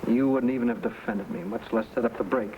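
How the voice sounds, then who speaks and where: Young western radio tough, male actor, mid pitch, terse and insistent, over a faintly noisy old recording. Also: quick pace, phone-quality sound, close to an everyday voice. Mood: hard, demanding. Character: young outlaw and his twin brother, hard and insistent.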